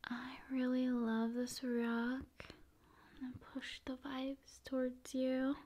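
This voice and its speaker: A soft-spoken woman hosting an ASMR video, musing casually in short, paused phrases and often slipping into whispers. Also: high voice, completely flat delivery. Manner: unhurried, pausing between short phrases.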